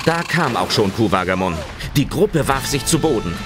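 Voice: mid-range voice, very animated, normal speed, loud music behind the voice, close to an everyday voice. A narrator telling a children's adventure audio drama in dramatic scripted narration.

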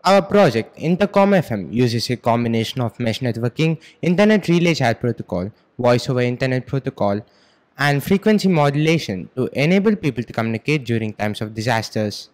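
A man's voice: mid-range voice, expressive, fairly quick, a little mellow. A teenage boy with an Indian accent giving a formal, rehearsed school project presentation. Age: teens, about 15. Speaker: student presenter. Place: school computer lab, indoors. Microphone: handheld microphone.

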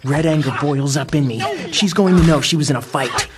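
A man playing an earnest, principled teenage hero in a comic-book audio drama, with a rough, husky voice. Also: on the high side, brisk, crowd noise, natural voice. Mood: tense narration.

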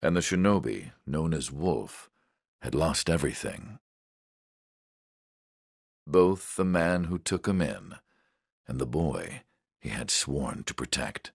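A man acting as a grave, solemn narrator of a samurai tragedy in a video game, with a gravelly, husky voice. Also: a bass voice, expressive, takes it slowly, long pauses, no echo, studio quality, heavily acted. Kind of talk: solemn scripted prologue narration.

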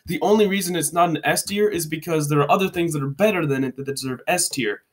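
A man's voice: a little high, fast. A congested young man giving a joking, rambling rundown of candy rankings into his webcam at home. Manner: joking, rambling, self-correcting. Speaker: vlogger. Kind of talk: casual ranking commentary.

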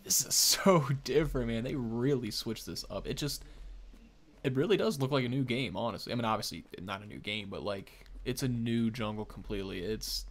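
A man's voice: medium pitch, animated, a little fast. A man, giving informal, enthusiastic game preview commentary. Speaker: gaming video host. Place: small indoor room at a desk. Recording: faint background noise and clicks.

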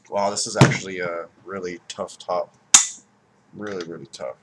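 Low-voiced young man, rambling beer review. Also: steady tone, normal speed, very noisy. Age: young adult. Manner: rambling, repeats words for emphasis. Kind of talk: casual video review. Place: home room by window blinds, indoors.

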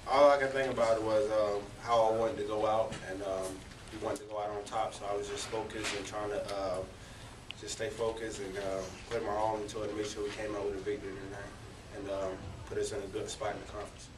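Low, flat-toned young man, roomy and noisy. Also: brisk. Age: early twenties. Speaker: college basketball player. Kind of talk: press conference answers. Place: indoor press conference room. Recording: roomy, distant, noisy press room recording.

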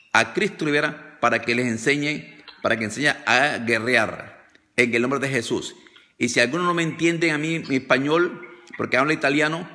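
A man's voice: a little high, pushed and bright. An older man leading a fervent, repetitive deliverance prayer on a phone video call, commanding and declaring. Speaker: deliverance preacher.

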